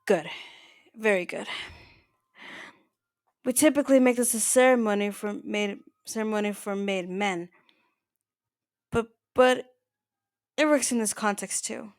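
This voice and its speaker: A woman playing an older mafia boss in an ASMR roleplay, soft-spoken, possessive and quietly menacing, with a halting, intimate delivery. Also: medium pitch, no room sound, studio quality, natural voice. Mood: solemn, commanding. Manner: halting, stammering, intimate address to the listener.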